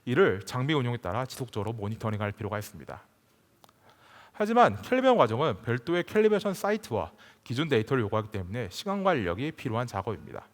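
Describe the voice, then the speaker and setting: A man giving a formal, prepared technical presentation at a conference, in measured, scripted phrasing. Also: mid-range voice, very animated. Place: large conference hall stage. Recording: reverberant hall PA sound.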